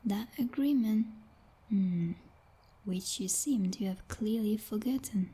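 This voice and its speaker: A woman playing a possessive, jealous female vampire knight in an ASMR roleplay, whispering in a breathy, condescending and coldly menacing way. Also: mid-range voice, dark tone. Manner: menacing, condescending, deliberate, teasingly formal.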